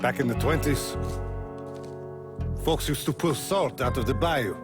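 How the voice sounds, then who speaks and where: An actor playing a weary, protective older American neighborhood boss in a game, deliberate and authoritative. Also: male voice, mid-range voice, close to an everyday voice. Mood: grave, resolute. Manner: deliberate, commanding. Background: music.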